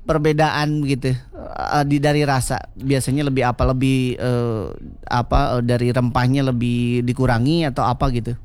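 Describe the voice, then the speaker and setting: Low-voiced woman host, chatty. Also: level tone, moderate pace, darkish tone, clean tone. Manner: chatty, frequent affirming hums. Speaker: interviewer. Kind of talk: casual talk-show chat.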